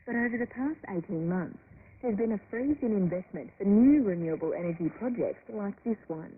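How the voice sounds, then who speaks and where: A woman's voice: mid-range voice, animated, a little fast. Woman reporter on crackly narrow shortwave radio. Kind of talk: scripted radio news narration.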